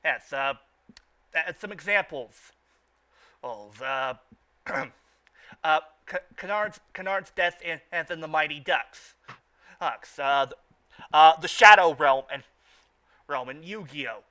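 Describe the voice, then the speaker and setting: Male, podcast host, very sharp bright voice, higher-pitched, halting, stammered repeats, casual unscripted chat.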